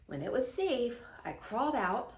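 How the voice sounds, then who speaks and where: A woman's voice: a little high, sing-song, a little slow. A woman voicing an old grandpa who tells tall adventure tales with dramatic emphasis in a children's storybook. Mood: dramatic storytelling. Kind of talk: children's picture book read-aloud. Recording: roomy, echoing sound.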